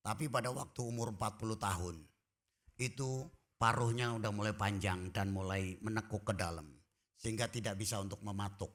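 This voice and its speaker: A man preaching to his congregation, his voice bright and forward. He jokes with the people in the pews, then climbs into loud, shouted emphasis before dropping back to quiet asides. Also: lowish voice, steady tone, fairly quick. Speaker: pastor. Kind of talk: sermon. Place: church.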